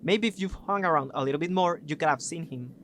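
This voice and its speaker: Casting: high school boy sidekick played by a man, slightly high voice, audio drama, faint ambience. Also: quick pace, fairly bright tone, a little hiss or hum, natural voice. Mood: matter-of-fact. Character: laid-back teenage boy backing up his friend.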